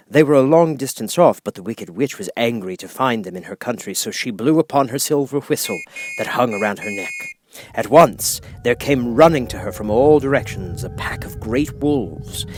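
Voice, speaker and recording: A man reading a children's audiobook as narrator, with expressive, dramatic storytelling. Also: medium pitch.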